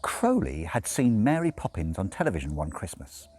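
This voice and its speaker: A man reading a novel aloud as expressive narration, with measured, dramatic phrasing and deliberate pauses. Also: deep voice, husky. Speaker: audiobook reader.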